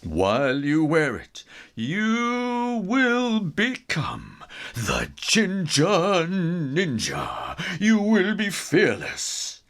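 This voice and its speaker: A man reading a children's story in an animated, theatrical style, with dramatic pauses. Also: fairly high pitch, gravelly.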